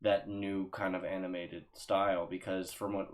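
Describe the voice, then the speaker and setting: A man co-hosting a casual podcast chat, speaking haltingly and repeating his words as he thinks. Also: low voice, level tone. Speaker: podcast co-host.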